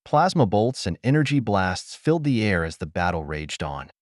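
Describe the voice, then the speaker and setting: Low-voiced man narrating with lively swings. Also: moderate pace, dry recording, clean studio sound. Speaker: audiobook narrator. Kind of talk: scripted narration of science fiction adventure.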